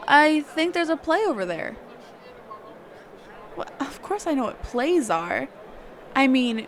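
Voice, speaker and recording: Roleplay audio: actress as female mage mentor, sheltered and hesitant, unhurried, lightly high-pitched, conversational, over clear background ambience. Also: noisy background. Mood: bashful, casual. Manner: halting, hesitant, trailing off and self-correcting. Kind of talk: one-sided roleplay audio drama, conversational.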